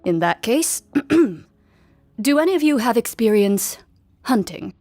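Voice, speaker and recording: A woman voicing a composed, serious duelist in a game, calm and precise. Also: fairly high pitch, slow pace, soft background music. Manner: calm, precise, matter-of-fact.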